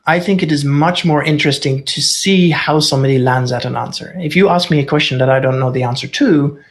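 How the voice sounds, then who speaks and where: A man's voice: a little high, brisk. A man who grew up in Norway, a native Dutch speaker talking in English as a guest expert, candid and thinking aloud with wry asides. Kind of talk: casual conversational interview.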